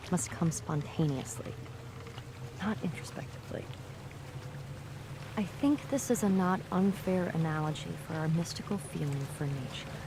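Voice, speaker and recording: A woman reading a philosophical essay aloud in a measured way. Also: fairly low pitch, creaky, darkish tone, slight background noise. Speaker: reader.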